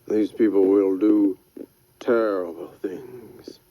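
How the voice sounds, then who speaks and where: A man voicing a formal, soft-spoken man who speaks gravely and with measured politeness. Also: on the low side, highs cut off, background noise, lightly acted.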